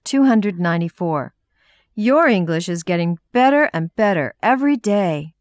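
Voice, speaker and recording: A woman's voice: lowish voice, lively intonation, no echo, studio quality. American woman reading lesson drills. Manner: clear, measured reading. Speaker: English lesson reader. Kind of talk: numbered sentence reading for repetition practice.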